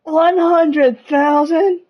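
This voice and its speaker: A woman's voice: very high voice, fairly even intonation, extremely slow. Woman streamer, dull lo-fi mic. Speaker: game streamer. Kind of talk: casual live playthrough commentary. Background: game music.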